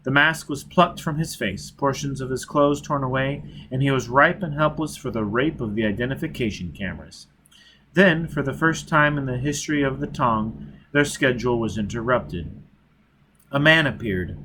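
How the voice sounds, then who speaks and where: A man with a medium-pitched voice narrates an audiobook. His reading is scripted and dramatic, with faint steady noise behind it.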